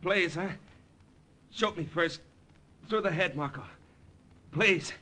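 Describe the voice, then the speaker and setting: Bright, highish-voiced American pilot, terse. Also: male voice, slow pace, heavily acted. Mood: strained pleading. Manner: slangy, terse, dry. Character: wry, plain-spoken American pilot, stoic comrade in danger. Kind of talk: 1940s-50s radio drama acting. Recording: slightly noisy old-time radio recording.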